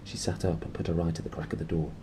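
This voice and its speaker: A man's voice: sits low, level tone, rapid-fire, noisy background. A man reading a story aloud expressively. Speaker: reader and host. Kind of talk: expressive audiobook narration with casual asides.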